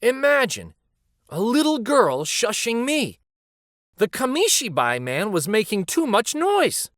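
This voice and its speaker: A man voicing a wistful, gentle old street storyteller from a children's picture book. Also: extremely high pitch, huge pitch swings, unhurried, dry recording, clean studio sound, pitched above the performer's own voice, heavily acted. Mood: hurt, bewildered. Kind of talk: picture book read aloud.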